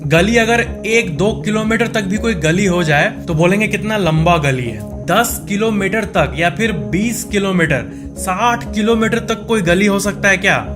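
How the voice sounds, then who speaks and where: A man's voice: high-pitched, normal speed, hardly any pauses. A man with an Indian accent presents facts in a conversational explanatory style, mixing in English words and posing questions that he then answers. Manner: mixes English words and phrases into speech. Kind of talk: explanatory narration in countdown format. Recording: music bed under the voice. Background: background music.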